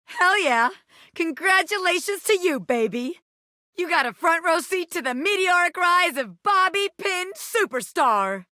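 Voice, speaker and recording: A woman's voice: very high voice, expressive, a little slow, bright, forceful tone, no echo, clean studio sound, heavily acted. A woman voicing a brash, scrappy ex-gangster bobby pin turned flirty magician in a video game, with cocky, theatrical streetwise slang. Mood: elated, boastful. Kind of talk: animated video game character acting.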